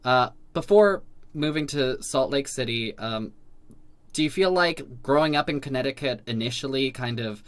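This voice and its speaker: A man's voice: medium pitch, moderate pace. A man hosting a casual interview, asking questions hesitantly with many fillers and laughing along. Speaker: podcast host.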